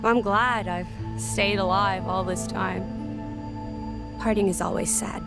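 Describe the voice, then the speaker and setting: A woman playing a gentle, reflective immortal young woman in a game cutscene, speaking haltingly. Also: mid-range voice, steady tone, loud music behind the voice. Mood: wistful. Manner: soft-spoken, halting, reflective.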